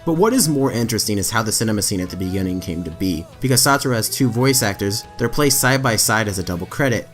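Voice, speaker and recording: A man reading anime trivia as a scripted voice-over, with emphatic lifts in his delivery. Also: mid-range voice. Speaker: anime trivia video narrator. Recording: faint background music under voice-over.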